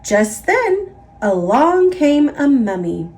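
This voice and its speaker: A woman with an American accent narrating a children's picture book in an expressive, emphatic read-aloud style. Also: high voice, slow. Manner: expressive, emphatic, repetitive build-ups. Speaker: storybook narrator.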